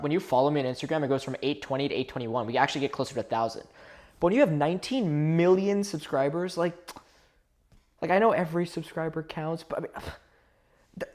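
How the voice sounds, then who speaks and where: A man from India chatting casually on a livestream, rambling and talking to his viewers. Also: fairly high pitch. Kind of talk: casual live-stream chat.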